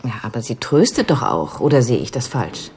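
A patient's great-niece in a radio play, with a very low female voice. She is inquisitive and thoughtful, feeling her way through questions, with music in the recording. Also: sing-song, brisk, darkish tone, slightly rough, exaggerated voice. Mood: probing, thoughtful. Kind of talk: radio drama dialogue.

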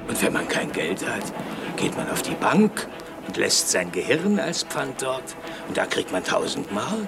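Gravelly, deep-voiced deadpan eccentric. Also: male voice, a little slow, over loud music, exaggerated voice. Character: eccentric man spouting absurd wisdom. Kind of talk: radio drama monologue.

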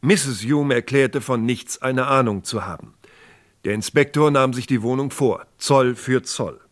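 A man narrating a scripted German radio crime drama in a measured, factual manner. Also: mid-range voice.

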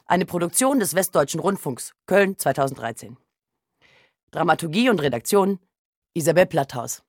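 A woman's voice: deep voice, big pitch swings, moderate pace, slightly rough, no room sound, studio quality. A woman delivering scripted narration for a radio production. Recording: music loud behind the voice.

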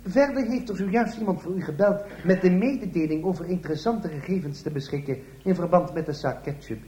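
A man's voice: high-pitched, brisk, mellow, roomy sound, a little hiss or hum, natural voice. A man playing an eager, dutiful police sergeant who reports deferentially in a comic radio play. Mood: eager, deadpan.

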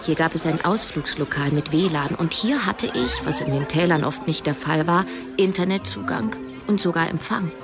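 A woman's voice: low-pitched, moderate pace, few pauses, music in the background. An actress playing a wry, introspective woman who narrates her own story in a radio drama. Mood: pensive, curious. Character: cash-strapped woman narrator, wry, conflicted amateur sleuth.

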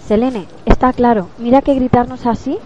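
A woman's voice: a little high, steady tone, normal speed, warm tone, natural voice. A woman playing a pert teenage schoolgirl prefect in an amateur radio play. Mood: teasing. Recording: dull, faintly noisy home recording.

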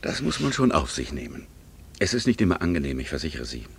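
Divorced gentleman friend, husky, dry, ironic, urbane, radio play. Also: very deep voice, sing-song, fast talker, heavily acted. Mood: wry, self-justifying. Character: wry divorced man, old family friend about to travel. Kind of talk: 1960s German radio play acting.

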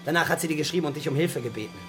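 A man's voice: fairly high pitch, very fast, music in the background, natural voice. An actor playing a bitter young man in a radio crime drama, taunting and threatening his father with contemptuous swearing.

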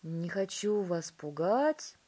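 Woman playing an excitable, drawn-out eccentric male inventor. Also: on the low side, husky, exaggerated voice. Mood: ominous, measured. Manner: emphatic, drawn-out exclamations.

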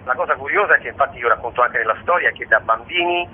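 Husky male interview guest, discursive and expressive, heard over a thin phone line. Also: mid-range voice, quick pace. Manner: discursive, expressive storyteller with rhetorical asides. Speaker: guest, stage actor and storyteller. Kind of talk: conversational radio interview.

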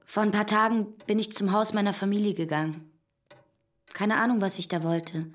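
An actress as an intense young female activist in a radio drama. She sounds idealistic and earnest, with a medium-pitched voice and measured conviction over a faint music bed. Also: fairly even intonation, natural voice. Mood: earnest, resolute. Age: young adult. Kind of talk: naturalistic radio drama acting.

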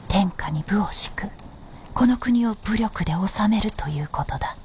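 A woman's voice: medium pitch, lively intonation, moderate pace, a little mellow, slight background noise, close to an everyday voice. A woman playing a shrewd, blunt princess with knowing, masculine phrasing in a period radio drama. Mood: hushed, explaining.

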